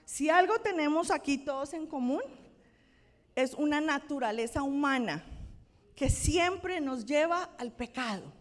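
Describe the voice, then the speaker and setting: A woman with a Colombian accent preaches from the pulpit in a high, light voice. She keeps it conversational, checking in with the congregation as she teaches. Her words ring out with the long reverb of a church hall. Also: lively intonation, unhurried. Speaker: pastor. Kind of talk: sermon. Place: church sanctuary.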